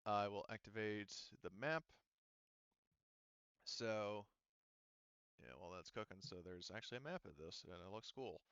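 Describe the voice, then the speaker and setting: A man's voice: on the low side, a little slow, pauses often, a bit bright, clear, resonant tone, no room sound, highs cut off. A man casually narrating a tabletop roleplaying game as game master, rambling and thinking aloud as he improvises.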